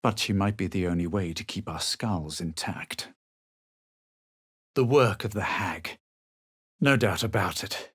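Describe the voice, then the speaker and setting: A man playing a proud, haughty adventurer in a fantasy video game, speaking in a measured, dramatic, superior way. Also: low voice, a bit bright, husky, dry recording, studio quality, exaggerated voice. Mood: wary, superior. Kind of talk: fantasy video game voice lines, narration and incantations.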